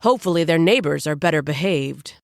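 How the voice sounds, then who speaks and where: Lady doing a lowish stern storybook god-king, scowly and rumbly, dry close sound. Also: lively intonation, a little fast, vocal fry, dry recording. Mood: scornful. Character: booming angry male king of the gods.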